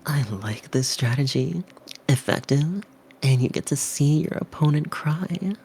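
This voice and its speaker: A woman voicing a dry, mock-solemn student gamer in a game, needling in a deadpan, theatrically grave manner. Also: sits low, measured pace, breathy edge, faint music behind, heavily acted. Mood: pleased.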